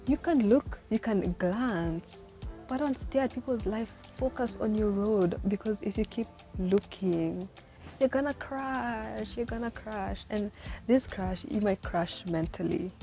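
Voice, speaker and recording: A woman's voice: medium pitch, dark tone. Chatty, rambling twenty-year-old woman. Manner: chatty, rambling, full of "like" and "you know"; laughs often at herself. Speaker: vlogger giving life advice. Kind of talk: casual rambling monologue to camera. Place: in the city where she lives.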